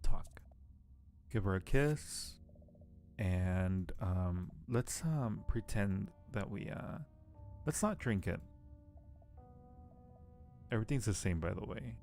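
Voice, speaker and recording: A man streaming a game, reading the narration aloud and commenting casually in a low-key way as he thinks aloud. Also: lively intonation, slow, pauses often, darkish tone, faint music behind. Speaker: live streamer playing a game.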